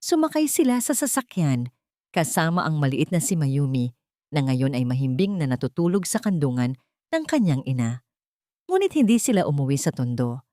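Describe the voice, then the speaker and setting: A woman narrating a scripted dramatic story in a measured, solemn storytelling manner. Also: low voice, very animated, mellow, no echo, clean studio sound. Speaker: narrator of Tagalog love stories.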